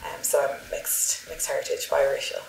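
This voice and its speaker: A woman introduces herself with easy humour. Her voice sits low. The studio has a noticeable ring. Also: completely flat delivery, normal speed, vocal fry, a little hiss or hum. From Northern Ireland, near Belfast. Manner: light, with "um" fillers. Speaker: interviewee. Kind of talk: casual self-introduction. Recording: roomy studio echo.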